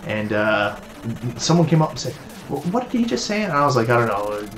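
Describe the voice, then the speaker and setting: A man from Newfoundland chats through a live stream while playing a retro game, his voice sitting in an ordinary middle pitch. He gets frustrated at the game and snaps at it now and then. Faint game music runs underneath. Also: big pitch swings, brisk. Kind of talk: casual live-stream chat.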